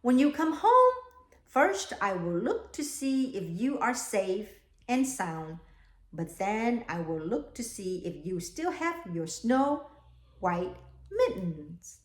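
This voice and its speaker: A woman voicing a caring, cautioning old grandmother from a children's storybook, in a deliberate, admonishing way. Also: medium pitch, very animated. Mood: firm, caring. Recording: echoey, roomy sound.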